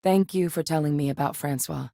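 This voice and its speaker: An actress voicing a composed female scientist-soldier companion in a video game, speaking in a measured way. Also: low-pitched, gravelly, dry recording. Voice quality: measured and composed, with sudden urgent shouts in combat. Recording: dry studio recording.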